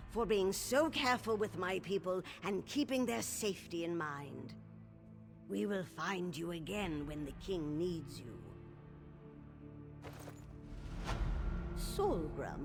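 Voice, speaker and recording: A proud older orc queen mother in a game, creaky, formal, soft music. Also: on the low side, very animated, full character voice. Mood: gracious, motherly. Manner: formal, measured, authoritative. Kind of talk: dramatic video game voice acting.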